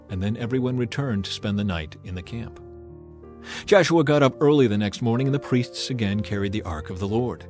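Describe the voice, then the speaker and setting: A man with a medium-pitched voice narrates scripture as dramatized audio. His delivery is solemn and declamatory, like formal storytelling. Music plays softly behind him. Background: background music.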